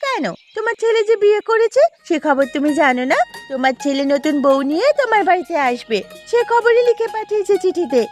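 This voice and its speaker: A woman's voice: very high-pitched, sing-song, fairly quick, hardly any pauses, a little mellow. A woman voicing a friendly, helpful, chatty young village woman in a Bengali cartoon. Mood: explaining. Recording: music behind the voice.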